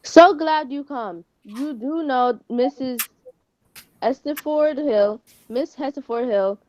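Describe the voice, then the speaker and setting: A female reader playing a gracious society mother exasperated by her son, reading haltingly from a script with some stumbles. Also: high voice, darkish tone, highs cut off. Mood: welcoming. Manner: halting read-aloud with stumbles.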